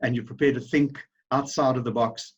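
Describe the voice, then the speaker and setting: A man's voice: mid-range voice, steady tone, brisk, husky, highs cut off. A middle-aged man with a South African accent, telling his story as a guest in an interview, rambling with many fillers and restarts. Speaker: business founder, guest.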